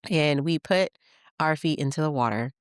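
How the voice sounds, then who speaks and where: A woman from Michigan hosting a podcast, telling childhood stories in a chatty, animated way and laughing as she goes. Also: low-pitched, normal speed, clean tone, no echo, clean studio sound. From Michigan, USA. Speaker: podcast host. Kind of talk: casual personal storytelling.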